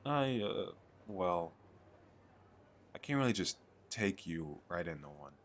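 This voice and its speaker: A man playing an eager, chatty fantasy merchant in an audio roleplay, talking the listener through a sales pitch in a hesitant, rambling, persuasive way. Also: big pitch swings, takes it slowly, a little hiss or hum, exaggerated voice. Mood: coaxing. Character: eager, chatty male fantasy merchant selling magical crystal castles.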